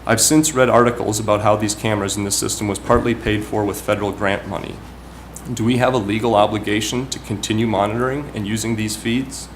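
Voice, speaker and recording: A man with a fairly low voice delivers prepared remarks as a councilman at a town meeting. He is formal and deliberate in his phrasing. Clear background noise runs through the meeting room recording. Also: steady tone.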